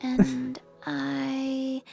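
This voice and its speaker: A small undead girl from a video game, high-pitched and creaky. She drags each halting phrase out extremely slowly, as if her memory is slipping, with loud music over her. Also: big pitch swings, exaggerated voice. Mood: blank, forgetful. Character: small, slow, forgetful undead zombie girl. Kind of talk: video game character voice line.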